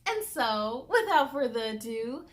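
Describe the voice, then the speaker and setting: A woman's voice: a little high, no room sound. A woman hosting a children's story time, reading a picture book expressively and adding chatty asides and questions for young listeners. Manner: animated, drawn-out delivery with interjections and questions to listeners. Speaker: children's read-aloud host. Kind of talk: expressive storybook read-aloud with friendly asides to young viewers.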